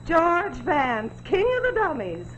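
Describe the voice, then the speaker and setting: A woman's voice: sits high, very animated, measured pace, dull audio. A woman acting a bitter, frightened, nagging wife in 1940s television suspense style, scornful and melodramatic. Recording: noisy 1940s television soundtrack.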